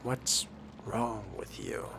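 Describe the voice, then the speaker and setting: A man's voice: medium pitch, steady tone, slow, creaky, background noise. A man voicing a wry, curious new vampire fledgling in role-play game dialogue, dry and questioning.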